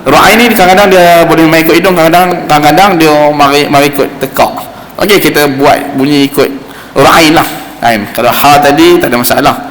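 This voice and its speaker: Quran teacher, male, Malaysian Malay accent, slightly high voice, explanatory classroom delivery in an echoing hall. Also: fairly bright tone. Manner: explanatory, repeats sounds slowly to demonstrate. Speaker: religious teacher giving Quran recitation class. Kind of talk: informal instruction with demonstrated Arabic recitation. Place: mosque hall. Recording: roomy echoing hall, faint background noise.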